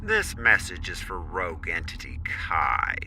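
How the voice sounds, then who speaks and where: An actor playing a pompous, menacing councillor in an audio drama, announcing threats with drawn-out, theatrical emphasis. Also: male voice, sits low, wildly swooping pitch, background noise, full character voice.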